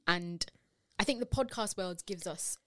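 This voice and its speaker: A British woman co-hosting a casual podcast, chatting in a relaxed way and asking her co-host questions. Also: on the low side, unhurried, dry recording. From Britain. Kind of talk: casual chat.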